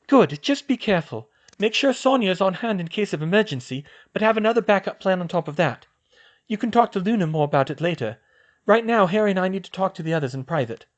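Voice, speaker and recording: A man voicing a sharp, determined teenage witch who talks briskly and decisively. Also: high voice, animated, voice pushed up. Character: sharp, determined teen witch, organized planner.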